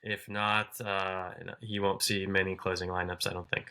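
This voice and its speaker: Podcast co-host guy, low pitch but sharp bright tone, keeps it short. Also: level tone, moderate pace, clear, resonant tone. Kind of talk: casual sports podcast chat.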